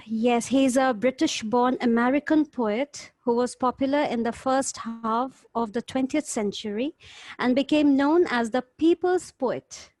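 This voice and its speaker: A woman with a fairly high voice talks casually in an online group, sometimes reading information aloud. The sound is dry, with little room around her. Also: unhurried, darkish tone, no echo, poor audio. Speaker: poetry group member. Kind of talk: casual chat and reading out facts. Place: online video call.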